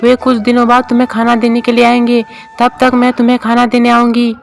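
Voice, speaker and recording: Casting: greedy, scheming farmer's wife played by a man, shrill and high-pitched, cartoon storybook style, music underneath. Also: monotone, brisk, gravelly. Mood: dutiful. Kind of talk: cartoon moral-story voice acting.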